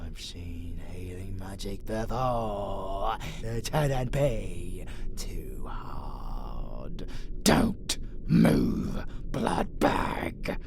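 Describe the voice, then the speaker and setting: A menacing vampire abomination for a monster roleplay audio, with a heavily gravelled growl. He speaks very slowly and theatrically, predatory and possessive, sliding from breathy whispers into roars over faint background noise. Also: low-pitched, expressive, heavily acted. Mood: scornful, focused.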